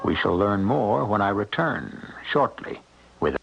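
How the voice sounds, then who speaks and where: A man hosting a radio mystery drama, narrating from a script in a theatrical, portentous manner with measured phrases. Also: on the low side, sing-song, vocal fry. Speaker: host and narrator. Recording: dull old radio broadcast recording. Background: dramatic background music.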